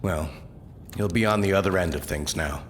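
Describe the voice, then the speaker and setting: Actor as deep, sombre police officer. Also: male voice, moderate pace, slight background noise, natural voice. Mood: dismayed, resigned. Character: dismayed, dutiful male officer making an arrest. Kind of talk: audio drama acting.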